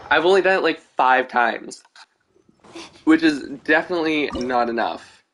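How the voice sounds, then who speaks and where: A man's voice: fairly high pitch, lively intonation, a little slow, a bit bright, slight background noise. A man chatting casually as he commentates his gameplay on a livestream. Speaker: game streamer. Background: faint game music.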